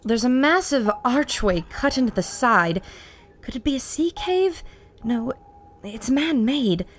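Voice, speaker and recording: A woman's voice: high voice, animated, unhurried. A woman playing an alert, observant airship crew member in an audio drama, describing things with urgency. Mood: curious.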